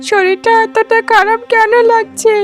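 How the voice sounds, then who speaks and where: Lady doing a snooty mean young wife in a cartoon, super high shrill scolding voice, music under it. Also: little pitch movement, moderate pace. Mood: wailing, self-pitying. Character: spiteful, arrogant youngest wife in a quarrelling household. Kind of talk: melodramatic cartoon voice acting. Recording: music behind the voice.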